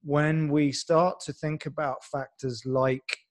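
A man's voice: a little high, level tone, slow. A man hosting a science podcast, talking enthusiastically and at length with many fillers and restarts. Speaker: podcast host. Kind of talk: casual podcast interview.

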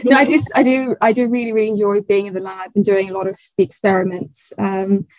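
A woman's voice: medium pitch, level tone, fast talker, darkish tone, no echo, poor audio. Thoughtful young woman, dry close sound. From Tanzania. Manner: thoughtful, hesitant with fillers and restarts. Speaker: research fellow guest. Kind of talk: conversational interview.

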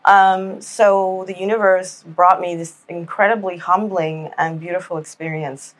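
A woman from Miami, a writer appearing as a podcast guest, speaking candidly and reflectively with soft fillers. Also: fairly low pitch, fairly even intonation, a little slow, rough recording. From Miami, United States. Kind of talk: candid conversational interview.

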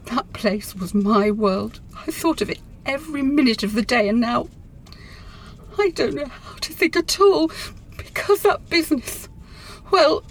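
An actress playing a distraught middle-aged office woman in a radio play, with a wordy, wounded, dramatic delivery. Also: fairly high pitch.

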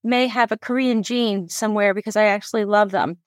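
A woman's voice: medium pitch, steady tone, a little fast, dry recording, clean studio sound. A woman from the United States hosting a podcast in a casual, curious and reflective conversational way. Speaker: podcast host.

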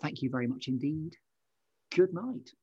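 A man's voice: a little high, animated, moderate pace, darkish tone, husky, no echo, muffled recording. A man hosting an interview podcast in a conversational, effusive and wordy way, full of compliments. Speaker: podcast host.

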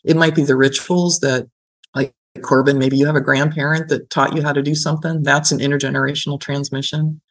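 A woman's voice: low voice, flat delivery, brisk. A middle-aged woman talking conversationally as an interviewed academic, explaining reflectively and often checking in with the listener. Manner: reflective, explanatory, checks in with "right?" and "you know". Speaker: gerontology professor being interviewed. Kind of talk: conversational interview, personal storytelling.

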